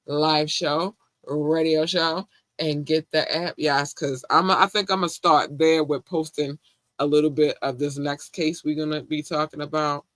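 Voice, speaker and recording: A man with a Baltimore accent hosting a podcast, chatting casually and warmly shouting out his listeners in slangy talk. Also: a little high, level tone, unhurried, no room sound. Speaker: podcast host. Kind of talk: casual live-stream chat.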